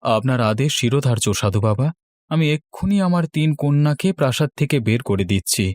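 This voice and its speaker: A man's voice: mid-range voice, lively intonation, a little fast, darkish tone, no echo, natural voice. A man playing a devout, anxious king and father in a fairy-tale cartoon, with a theatrical, deferential and pleading manner. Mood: worried, pleading.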